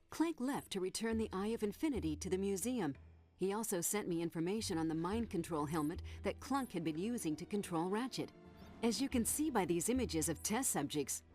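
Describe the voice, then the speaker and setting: A woman voicing a composed, flirtatious intelligence agent in a video game, crisp and businesslike with coy teasing. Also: mid-range voice, darkish tone, natural voice. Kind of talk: scripted video game cutscene acting, report delivery.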